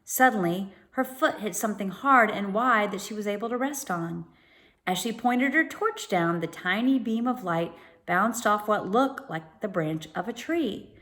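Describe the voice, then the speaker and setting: A woman narrating a children's storybook as a teacher would, pausing to explain words to listeners. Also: mid-range voice, animated, a bit bright. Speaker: teacher reading aloud.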